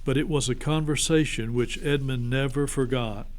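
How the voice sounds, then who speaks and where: A man's voice: mid-range voice, steady tone, normal speed, a little mellow. A man reading a children's storybook aloud as a narrator.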